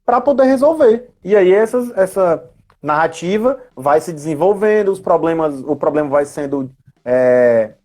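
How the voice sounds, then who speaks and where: A man's voice: a little high, lively intonation, a little slow, darkish tone, faint music behind. Man, explaining casually. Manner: didactic, often checks with tag questions. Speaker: guest educator answering questions on role-playing games. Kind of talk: casual explanatory live chat.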